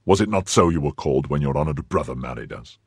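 A man voicing a stern, brooding nobleman who speaks slowly, lowly and menacingly in a quiet, husky voice. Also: lively intonation, no echo, studio quality, heavily acted. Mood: coldly menacing. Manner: slow, low and menacing, quietly bitter. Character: stern brooding male nobleman, cold and grave.

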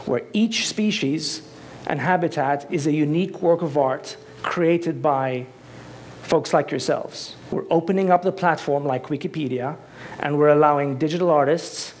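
A man's voice: a little high, darkish tone, a little hiss or hum. Eager man giving a stage talk. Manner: enthusiastic, conversational, gestures with both hands. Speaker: conference speaker. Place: dark stage in a large auditorium. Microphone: headset.

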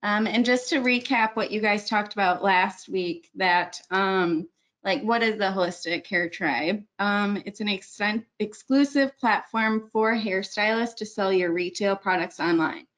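A woman's voice: mid-range voice, a little slow, a bit bright. Chatty woman presenting a webinar. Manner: chatty, self-correcting, many ums. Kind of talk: screen-share webinar walkthrough.

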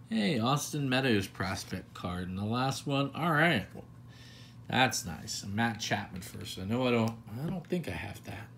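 A man's voice: mid-range voice, a bit bright, a little hiss or hum. A man hosting a pack-opening video, talking casually with self-deprecating, rambling asides as he handles cards at a table. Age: older adult. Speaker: card collector channel host. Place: indoors at a table.

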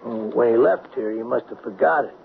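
An actor playing a timid, down-and-out man eager to help, halting and folksy, in a radio drama. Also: medium pitch, gravelly, close to an everyday voice. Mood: meek, sympathetic. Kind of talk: 1970s radio drama acting. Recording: dull lo-fi 1970s radio broadcast, hissy.

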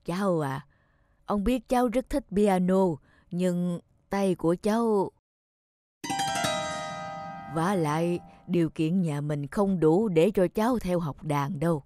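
A woman voicing a gentle, caring old grandfather in a children's cartoon, speaking earnestly. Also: on the low side, slow, pauses often. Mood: regretful. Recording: faint children's music behind.

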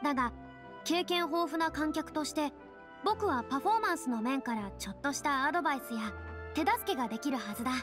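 High-voiced, theatrical young stage diva. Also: female voice, animated, brisk, over music. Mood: confident, gracious. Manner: boyish first person, lofty, theatrical phrasing. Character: theatrical, self-assured young female former stage star. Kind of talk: Japanese anime game voice acting.

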